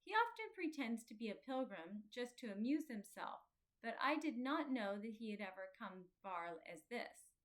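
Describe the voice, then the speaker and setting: A kindly little boy from a children's story, voiced by a woman. He speaks frankly and gently, as one who knows better, in a moderately high voice. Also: big pitch swings, moderate pace. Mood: knowing. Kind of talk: children's storybook character reading.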